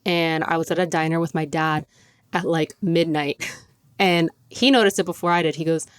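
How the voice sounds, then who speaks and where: A young woman hosts her podcast in a chatty, gushing way, leaning on "like" as she goes. Her voice sits a little low and sounds smooth and clear. It is recorded dry and close on a podcast mic. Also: steady tone, normal speed, no room sound. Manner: chatty and gushing, heavy use of "like". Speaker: podcast host. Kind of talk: casual solo podcast chat.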